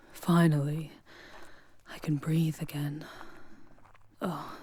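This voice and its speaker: A woman playing a charming, haughty demon guide through hell in a scripted ASMR roleplay, speaking in formal, measured, archaic phrases. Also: sits low, a little mellow, a little hiss or hum. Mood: queasy, weary.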